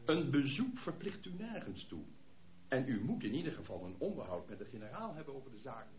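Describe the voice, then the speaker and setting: An actor playing an earnest gentleman giving formal, persuasive advice in a Dutch radio play. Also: medium pitch, very animated, fairly quick, close to an everyday voice. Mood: advising. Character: earnest, advising gentleman host defending a young lady. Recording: tinny band-limited old radio play recording.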